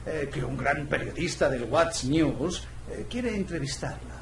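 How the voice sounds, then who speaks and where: A man voicing a small, wheedling weasel newspaper reporter in a video game, hesitant and excitable as he bargains. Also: fairly high pitch, a little slow, vocal fry. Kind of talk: video game dialogue acting. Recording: echoey, faint background noise.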